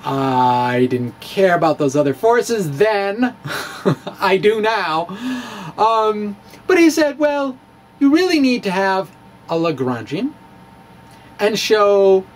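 Middle aged guy doing a video blog, kinda high voice, slow and halting, wry, bit of room noise. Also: sing-song. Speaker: amateur physicist and video blogger. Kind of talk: dramatic reading of a personal blog, loosely conversational. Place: small indoor room with bookshelves. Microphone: handheld-style dynamic mic on a stand, close up.

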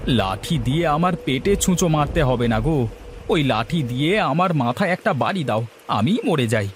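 A man's voice: a little high, expressive, normal speed, a little hiss or hum, close to an everyday voice. A man playing an exasperated, hungry village farmer husband who complains dramatically in a comedy cartoon. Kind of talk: Bengali comedy cartoon dialogue.

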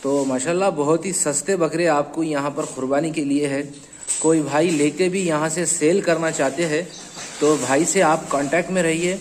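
Hyderabadi male host, talkative farm-tour interviewer, slightly high pitch, faint background noise. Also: steady tone, normal speed. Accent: Hyderabadi Hindi-Urdu. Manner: chatty, repeats answers back. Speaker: host of a goat channel. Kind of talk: casual on-site interview.

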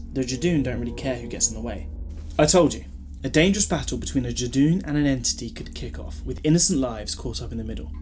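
A man playing a flippant, protective alien time traveller in a fan audio drama, with a husky voice and wry, bantering delivery. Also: mid-range voice, a little mellow, natural voice. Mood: explanatory.

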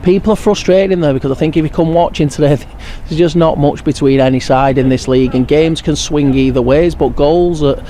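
A man in his thirties with an English accent, a football manager giving a frank, halting post-match interview outdoors at a floodlit ground at night. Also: fairly high pitch, mellow, a little hiss or hum. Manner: frank, frustrated, halting with restarts. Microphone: handheld furry mic held near by interviewer.

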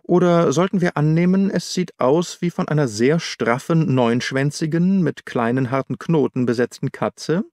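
A man voicing a self-assured, secretive detective in an audiobook, reasoning in a measured way. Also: a little high, a little mellow, no room sound, studio quality, natural voice. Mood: analytical. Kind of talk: audiobook character dialogue.

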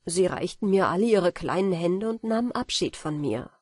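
A woman narrating a bedtime fairy tale audiobook in a gentle, measured storytelling manner. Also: lowish voice, lively intonation, slightly rough, no room sound, clean studio sound.

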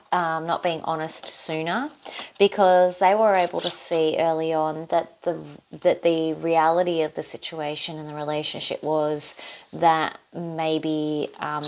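A woman's voice: deep voice, creaky, a little mellow. A woman with an Australian accent giving a casual tarot reading, thinking aloud as she draws cards. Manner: chatty, thinking aloud while drawing cards. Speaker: tarot reader. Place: indoors at a table, overhead camera on her hands.

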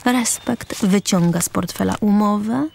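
A woman's voice: medium pitch, measured pace, vocal fry, darkish tone, slight background noise. A woman narrator reading scripted stage directions for a radio drama.

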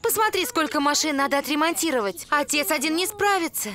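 A woman's voice: high-pitched, lively intonation, a little fast, bright, forceful tone, soft background music. An actress voicing an earnest, indignant young female angel in a dubbed cartoon. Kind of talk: animated series dubbing.

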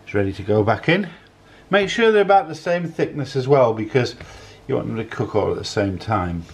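A man in his sixties giving a casual, unhurried cooking demonstration in a home kitchen, often repeating his phrases as he goes. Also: mid-range voice, animated, creaky, husky. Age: 60s. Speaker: home cook, presenter. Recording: clattering dishes, clicks, kitchen noise.

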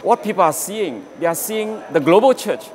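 A man's voice: sits high, expressive, moderate pace, crowd noise. A man in an interview at a conference, speaking emphatically with small restarts. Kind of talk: interview comment.

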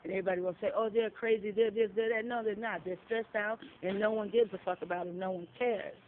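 A woman's voice: mid-range voice, steady tone, fast talker. A woman, a shelter resident, telling a story in casual chat, blunt and profane, acting out the dialogue she quotes, recorded on a phone outdoors. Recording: tinny phone-like audio, faint noise.

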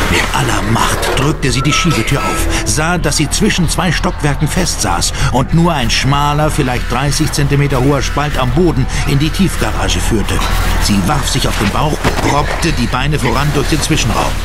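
A man narrating an audio drama in a dramatic, scripted style. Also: mid-range voice.

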